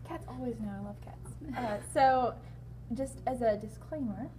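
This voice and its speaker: A woman's voice: on the high side, measured pace, mellow. A young woman, a wellness director, answering interview questions in a friendly, explanatory way. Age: young adult. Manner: friendly, explanatory, laughs easily. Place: large indoor hall. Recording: distant mic with background noise.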